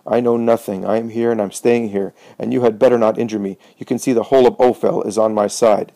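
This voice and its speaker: A man's voice: fairly low pitch, fairly even intonation, fast talker, creaky, mellow, no echo, low-quality recording. A man voicing a stubborn, defiant young blind beggar who answers with bold, scornful retorts.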